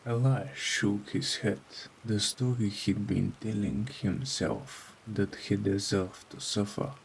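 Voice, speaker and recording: Low male voice, gravelly creak, solemn horror narration, dramatic deliberate phrasing, faint rain behind. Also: steady tone, slight background noise, rough recording. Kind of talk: scripted horror story narration.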